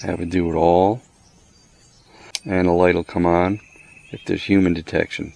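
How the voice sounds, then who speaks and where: A man's voice: sits low, level tone, moderate pace, vocal fry, darkish tone. A man giving a casual hands-on product walkthrough outdoors, plainspoken and matter-of-fact, in short clipped phrases. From the Americas. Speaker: product reviewer. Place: outdoors under a canopy in a wooded yard.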